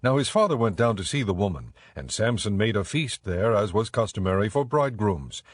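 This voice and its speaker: A man narrating scripture for a dramatized audiobook in a measured, solemn, scripted style. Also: fairly low pitch, big pitch swings, breathy edge, dry recording, clean studio sound.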